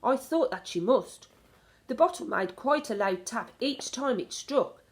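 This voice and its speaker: A woman reading a children's story aloud in an expressive narrating style. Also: on the high side, unhurried. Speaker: storybook reader.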